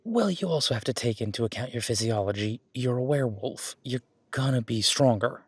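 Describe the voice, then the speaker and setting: A man's voice: medium pitch, lively intonation, a little fast, husky, no room sound. A man playing a friendly, patient werewolf boyfriend who coaches a workout in a casual, instructive audio roleplay. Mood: easygoing. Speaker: gym training coach in roleplay.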